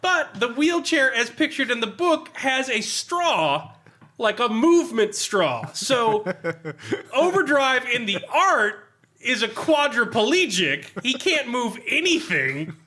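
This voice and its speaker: A man reviewing a role-playing book casually among friends in an animated, amused, sweary and sardonic way. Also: very high-pitched, slow pace. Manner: animated, amused, often laughing while talking; profane, sardonic.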